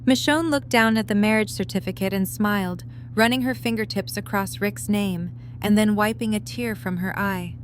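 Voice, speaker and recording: Woman reading story narration, mid pitched voice, bit of hum and noise. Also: moderate pace, hardly any pauses.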